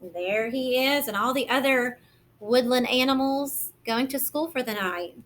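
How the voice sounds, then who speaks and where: A woman reading a picture book aloud as a kindergarten teacher, gentle and expressive, explaining simply to young children. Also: sits high, unhurried.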